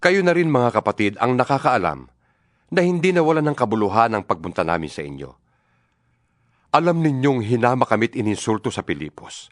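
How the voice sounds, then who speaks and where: A man's voice: medium pitch, no room sound, clean studio sound. A man with a Filipino accent giving a solemn, earnest dramatized reading of scripture as a scripted narrator.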